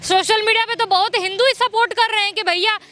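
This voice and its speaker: A woman's voice: extremely high pitch, steady tone, fast talker, pushed and bright. A woman working as a street interviewer, putting probing questions and relaying opposing views.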